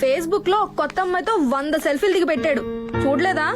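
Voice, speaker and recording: A woman's voice: sits high, fast talker, music in the background, natural voice. An actress playing a teasing, gossipy young female friend in a comedy film. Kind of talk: Telugu film comedy acting.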